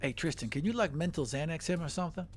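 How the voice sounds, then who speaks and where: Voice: medium pitch, fast talker. A tabletop role-playing game player making casual, joking remarks to the others at the table. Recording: faint music behind the voice.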